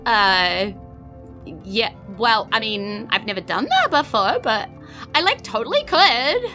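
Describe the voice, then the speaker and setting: Woman doing a ditzy crystal shop girl for a tabletop game, high bright voice, gushy and vague. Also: sing-song, slow pace, faint music behind, heavily acted. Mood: breezy, vague. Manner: gushing, filler-heavy valley-girl drawl with many "like"s.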